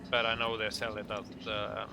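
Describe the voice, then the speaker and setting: A man with a Norwegian accent giving an informal game tutorial in English, speaking hesitantly in halting phrases. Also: fairly low pitch, monotone, gravelly, background noise. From Norway. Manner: hesitant, halting delivery with pauses. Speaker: video tutorial narrator.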